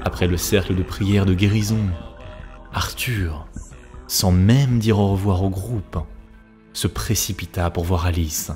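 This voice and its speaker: A man's voice: deep voice, a little slow. A man narrating a scripted story for a cartoon, as a storyteller. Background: music.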